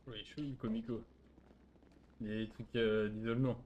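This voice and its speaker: A man's voice: on the low side, flat delivery, brisk, a little mellow, dull audio. A man chatting casually in an improvised online game role-play. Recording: gaming voice chat with background noise.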